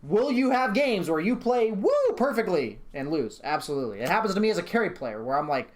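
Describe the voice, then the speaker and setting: A man's voice: high-pitched, sing-song, brisk. A man coaching in a casual conversation, speaking bluntly and with confidence. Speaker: game coach.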